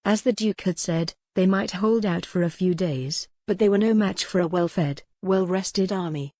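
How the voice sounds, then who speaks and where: A woman narrates scripted historical fiction with measured, dramatic delivery. Her voice sits slightly low, and the recording is close and dry. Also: dark tone, dry recording. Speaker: audiobook narrator.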